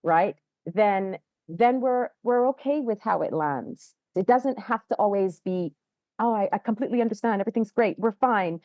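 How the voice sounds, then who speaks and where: A woman hosting a podcast who reflects back her guest's points in a warm, affirming way. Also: medium pitch, normal speed, no echo, muffled recording. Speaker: podcast host. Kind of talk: podcast conversation.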